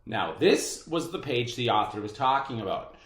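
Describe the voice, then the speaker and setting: Expressive, echoey read-aloud teacher of unclear sex. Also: medium pitch, normal speed. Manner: expressive, dramatized delivery of story dialogue. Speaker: teacher reading aloud to a class. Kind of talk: read-aloud storytelling and class instruction. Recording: echoey room.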